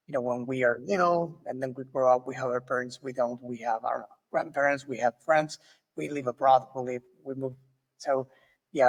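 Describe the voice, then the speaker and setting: A male podcast host from Argentina speaks English with an Argentine Spanish accent, friendly and a little halting. His voice has a gravelly edge. The recording carries a long, hall-like reverb. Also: mid-range voice. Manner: friendly, halting with small restarts. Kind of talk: casual interview.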